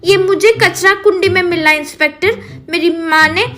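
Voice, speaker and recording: A woman voicing an innocent, polite, excitable little schoolgirl in an animated moral story, speaking in an earnest, childlike way. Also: very high-pitched, normal speed, faint music behind, voice pushed up.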